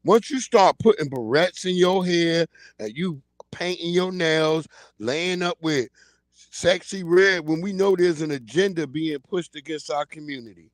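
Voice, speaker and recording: A man's voice: a little high, unhurried, no echo. A man in his forties hosting a livestream, ranting bluntly and in slang about the news of the day. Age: 40s. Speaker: livestream host. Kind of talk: casual commentary livestream. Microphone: streaming mic.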